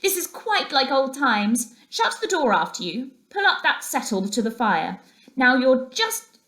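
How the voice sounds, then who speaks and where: A woman's voice: high voice, sing-song, fairly bright tone, reverberant, pitched above the performer's own voice, heavily acted. A woman voicing an eager, homely and sentimental male mole who is easily flustered, in a children's storybook. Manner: proud and nostalgic, quick to fret.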